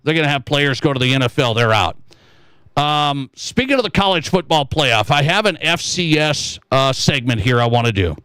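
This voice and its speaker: High-voiced American man on sports radio. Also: expressive, normal speed, fairly bright tone, clear, resonant tone, no room sound, poor audio. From Montana, United States. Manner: emphatic, argues with mocking imagined objections. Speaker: sports talk radio host. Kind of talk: opinionated sports talk monologue.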